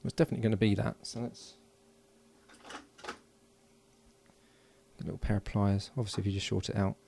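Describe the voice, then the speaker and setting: Low gravelly man, casual workbench commentary. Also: flat delivery, fairly quick, a little mellow, a little hiss or hum. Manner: unscripted, murmuring along while working. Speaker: hobbyist electronics builder and presenter. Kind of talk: casual hands-on build commentary.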